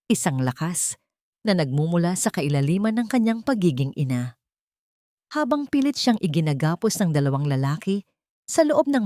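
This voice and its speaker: A woman with a Filipino accent narrating a scripted dramatic story in a measured, solemn manner. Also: fairly low pitch, a little mellow, dry recording, studio quality.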